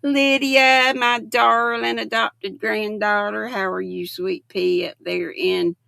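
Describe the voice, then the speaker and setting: Gravelly older woman, slow homey chat. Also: medium pitch, steady tone, bright, forceful tone, dry recording. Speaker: livestream host. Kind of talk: casual chat with live viewers.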